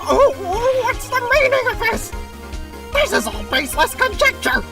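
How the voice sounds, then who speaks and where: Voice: very high voice, very animated, moderate pace, full character voice. A performer voicing a shifty, hot-tempered male witness in an amateur courtroom game dub, blustering defensively. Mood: defiant. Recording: distant mic under loud music.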